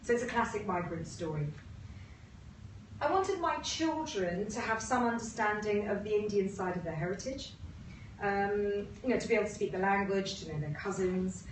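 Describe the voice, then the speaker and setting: A woman's voice: medium pitch, expressive, normal speed. British woman giving a talk, echoey and distant. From Uganda-born, grew up in England. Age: 50s. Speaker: presenter, agency co-founder. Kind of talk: personal narrative presentation. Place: room at a talk event. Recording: roomy, noisy, distant mic.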